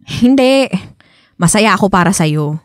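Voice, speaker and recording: A woman's voice: mid-range voice, expressive, measured pace, no echo, clean studio sound, close to an everyday voice. An actress with a Filipino accent playing a reluctant, evasive young woman who hides her feelings, in a radio drama. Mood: uneasy. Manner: hesitant, exasperated, sighing. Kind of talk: Tagalog radio drama acting.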